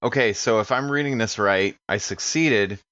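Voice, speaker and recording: A man's voice: on the low side, moderate pace, a bit bright, no room sound, dull audio. A man playing a tabletop game, chatting casually about his character and his rolls.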